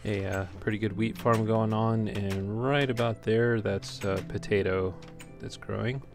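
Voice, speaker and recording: Low-voiced man doing casual game commentary. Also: steady tone, measured pace. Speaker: let's play host. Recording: clearly noisy home recording.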